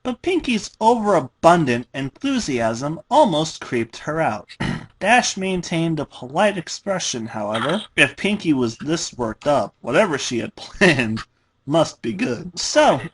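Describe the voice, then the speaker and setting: A man's voice: on the high side, big pitch swings, a little slow, fairly bright tone, slightly rough. A young man doing a dramatic reading of a story to friends, with casual asides. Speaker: reader of fan fiction to friends.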